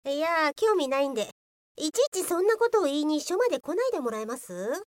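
A woman's voice: high voice, big pitch swings, brisk, no echo, clean studio sound. A woman playing a blunt, exasperated police officer who scolds curtly in a comedic radio drama. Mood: annoyed, dismissive. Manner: curt, scolding.

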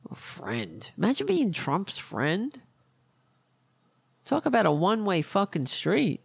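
A woman hosting a political podcast, hoarse from losing her voice, ranting in a rambling way and losing her train of thought. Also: on the low side, huge pitch swings, slow pace, no echo. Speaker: podcast host. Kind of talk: casual political rant.

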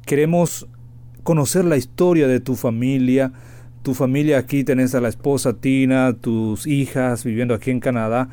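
A man's voice: mid-range voice, fairly even intonation, normal speed, warm tone, dry recording. A man hosting a radio programme, presenting and interviewing guests. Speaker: host.

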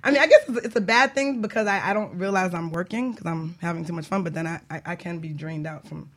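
A woman's voice: fairly low pitch, fast, a bit bright. Bubbly, laughing woman co-hosting a radio talk show. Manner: bubbly, laughs often, self-joking. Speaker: life coach and radio co-host. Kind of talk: casual radio chat.

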